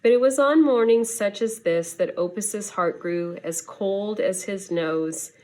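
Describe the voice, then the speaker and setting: A woman reading a children's story aloud in an expressive, deliberate teacher's manner. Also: mid-range voice. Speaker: schoolteacher reading to students.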